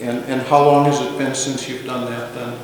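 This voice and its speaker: A man's voice: mid-range voice, flat delivery, normal speed, slight background noise. A man interviewing a veteran for an oral history, often stumbling and repeating words as he frames his questions. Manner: hesitant, repeats words as he asks. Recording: distant echoey hall recording.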